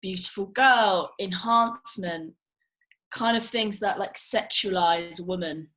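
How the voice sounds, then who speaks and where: A woman of average pitch casually pitches ideas for a scene. She thinks aloud in loose, very informal phrasing full of filler words. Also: measured pace, sharp tone, a little hiss or hum, low-quality recording. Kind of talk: casual script suggestion.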